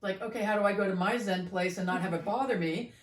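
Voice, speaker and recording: A middle-aged woman talks through her thoughts in a measured, self-questioning way, pausing to weigh each point. Her voice sits at an ordinary pitch. It is picked up from a little way off, in a room that rings noticeably. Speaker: co-host, mother. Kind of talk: reflective casual chat. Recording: roomy, mic at a distance.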